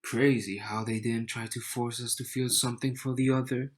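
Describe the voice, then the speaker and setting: A man's voice: mid-range voice, flat delivery, natural voice. A man playing a cocky, wry and slightly bitter young man in an intimate audio roleplay, teasing and smug as he speaks to the listener. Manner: slow, teasing, smug, with sighs and soft chuckles.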